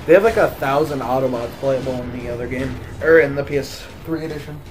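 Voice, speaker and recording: A man's voice: medium pitch, fast, background noise. A young man giving casual, joking commentary on a video game. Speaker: let's play commentator. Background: loud game music.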